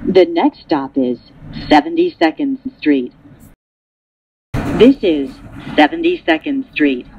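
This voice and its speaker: A woman making recorded subway announcements in formal, clearly segmented phrases, over train ambience. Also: low-pitched, slow, pauses often, darkish tone. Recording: dull lo-fi audio with vehicle noise.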